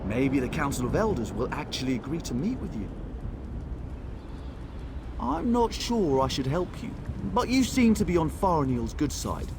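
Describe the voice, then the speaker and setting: A man voicing a sceptical but helpful wood elf in a video game, folksy and expressive. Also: on the high side, moderate pace, noisy background. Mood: sceptical, advising.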